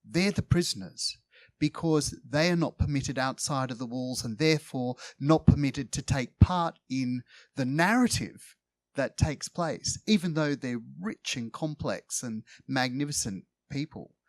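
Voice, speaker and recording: A man speaking in a literary panel discussion, choosing his words deliberately in articulate, scholarly phrases. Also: mid-range voice, no echo.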